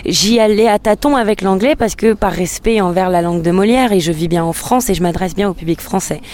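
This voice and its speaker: A woman's voice: mid-range voice, fairly quick, darkish tone, a little hiss or hum. A woman whose first language is English, a singer-songwriter answering interview questions in a hesitant way, with many filler sounds and restarts.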